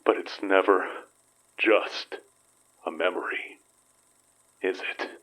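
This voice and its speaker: A man playing a guilt-ridden grieving father in an audio horror drama, halting and sombre. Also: low voice, fairly even intonation, fairly bright tone, rough recording. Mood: broken, quiet.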